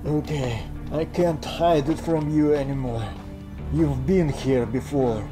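An earnest young male sidekick in a video game. He speaks slowly in a slightly high voice, with music underneath. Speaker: friend Zhenka. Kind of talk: video game cutscene voice acting. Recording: music playing behind.

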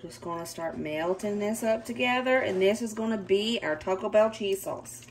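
A hoarse woman with a Southern US accent, chatting casually in her home kitchen as she walks through a recipe step by step. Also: mid-range voice, normal speed, a little hiss or hum, poor audio. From Southern United States. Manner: chatty and relaxed, gives step-by-step directions. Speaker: home cooking vlogger.

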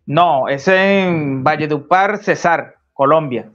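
A young Venezuelan man talks as a polite, chatty guest in a live video call. His voice is a bit higher than most men's, and the sound comes through slightly dull. He answers with easy courtesy. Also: slow, fairly bright tone. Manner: chatty, polite, says con todo gusto. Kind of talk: casual live stream chat.

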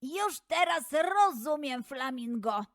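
A woman voicing a kind, earnest detective in a children's fairy tale, gentle and encouraging in manner. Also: high voice, expressive, slow, dry recording, studio quality, voice pushed up, heavily acted. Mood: warmly encouraging. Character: kind, earnest detective consoling a runaway flamingo.

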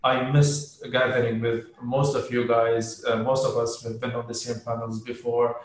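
A man's voice: medium pitch, little pitch movement, moderate pace. A middle-aged man moderating a panel on a video call, polite and formal. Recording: very echoey room on a video call.

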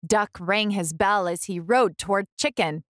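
A woman reading a picture book aloud for young children, deliberate and expressive. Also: medium pitch, dry recording, studio quality. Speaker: children's book reader and host.

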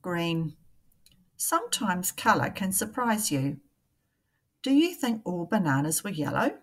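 A woman, a primary teacher reading a picture book aloud to young children in a deliberate, questioning way. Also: on the low side, very animated.

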